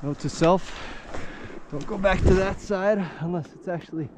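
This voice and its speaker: A young man talking to himself and his camera while skiing the backcountry, casual and relaxed, recorded outdoors on an action camera. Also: sits high, moderate pace, background noise. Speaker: ski vlogger. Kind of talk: casual vlog narration. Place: outdoors on snowy mountain slopes. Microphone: action camera on body or car. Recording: music playing under the voice.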